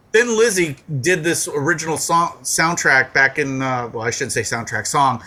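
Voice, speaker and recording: Forties male co-host, bright and slightly high voice, rambling casual banter. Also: moderate pace. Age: 40s. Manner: rambling, heavy fillers and swearing. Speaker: podcast co-host. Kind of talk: casual comedic chat.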